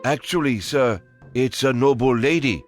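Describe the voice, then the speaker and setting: Respectful, mid-pitched military aide played by a man. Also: moderate pace, faint music behind, lightly acted. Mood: deferential. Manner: respectful, formal. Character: deferential military aide reporting to his admiral. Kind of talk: video game voice acting.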